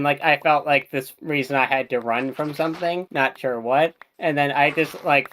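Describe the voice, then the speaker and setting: Casting: young adult man, streamer, loose rambling chat, laughs a lot, mid pitch. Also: a bit bright, slight background noise. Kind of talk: casual chat.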